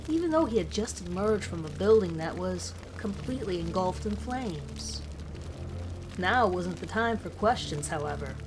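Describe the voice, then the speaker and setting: Woman narrating horror over prominent music. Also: mid-range voice. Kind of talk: scripted narration of a creepy first-person story. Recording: music behind the voice.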